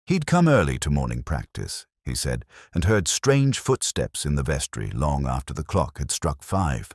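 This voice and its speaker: A very low male voice, dry and close, with a lot of movement in its pitch. a weary police inspector in an audio mystery drama, recounting his case in measured, literary phrases with a brooding, reflective air. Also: very animated, husky, no room sound, heavily acted. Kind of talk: scripted first-person mystery narration.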